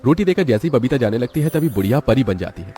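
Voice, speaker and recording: A man's voice: medium pitch, sing-song, fast, warm tone, soft background music. A man narrating a scripted children's moral story in a dramatic way.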